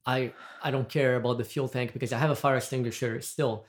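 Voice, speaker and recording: A man's voice: mid-range voice, fairly even intonation, quick pace. A man from Brazil with a Brazilian accent, a podcast guest in casual chat who rambles and restarts as he talks. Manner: chatty, rambling, with frequent restarts and laughs.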